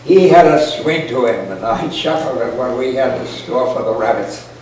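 A man's voice: mid-range voice, lively intonation, normal speed, a little mellow. An elderly man in his eighties or older reads a folksy, humorous story aloud in a dry, rustic manner. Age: 80s or older. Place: indoor parlor with a small audience. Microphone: camera microphone at a distance. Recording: echoey, noisy room recording from far off.

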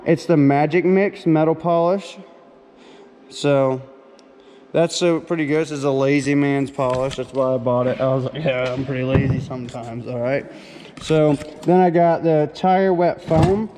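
A man with a slightly high voice gives a casual vlog tour as a truck driver. He talks in an informal, folksy way, using slangy phrasing. The handheld camera audio carries long reverb and faint noise. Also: fairly even intonation, measured pace, warm tone. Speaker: truck driver vlogger showing his gear. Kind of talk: casual walk-through vlog, chatty and informal. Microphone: handheld camera on small tripod, no foam cover.

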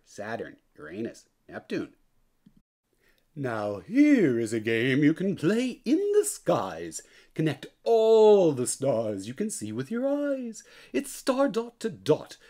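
Very animated, unhurried children's storybook reader. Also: mid-range voice. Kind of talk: expressive children's read-aloud in rhyme.

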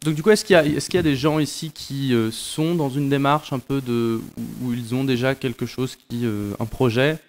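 A man moderating a festival panel in a classroom, asking halting questions with many restarts into a handheld mic. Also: mid-range voice, steady tone.

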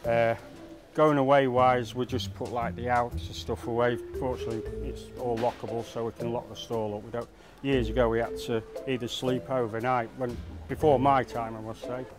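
A man talks casually about his work as a market stallholder. He restarts phrases as he explains. His voice sits at an ordinary pitch, and music plays behind him. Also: flat delivery, normal speed. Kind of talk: casual interview talk. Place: busy indoor market stall.

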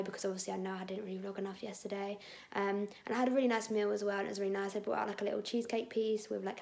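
A woman, chatting casually to a phone camera at home in a husky, strained voice, rambling with lots of fillers. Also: mid-range voice, darkish tone. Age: 20s. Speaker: vlogger. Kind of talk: casual vlog chat. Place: indoors at home, bedroom and kitchen. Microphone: phone camera held at arm's length.

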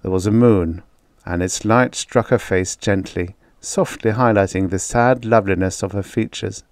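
A man's voice: lowish voice, normal speed, no echo, clean studio sound. A man reading a vintage short story aloud as scripted audiobook narration, with a dramatic storytelling delivery.

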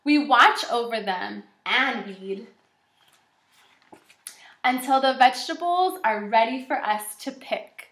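A woman in her twenties reading aloud and teaching young children as a kindergarten teacher, in an encouraging, animated way. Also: a little high, slow, long pauses. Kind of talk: children's read-aloud lesson. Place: small indoor room with shelves and whiteboard. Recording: echoey room.

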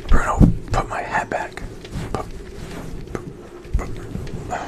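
A man's voice: slow, heavy background noise. A young man hosting a casual livestream, greeting viewers and playfully scolding his dog in a whispery voice. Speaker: livestream host.

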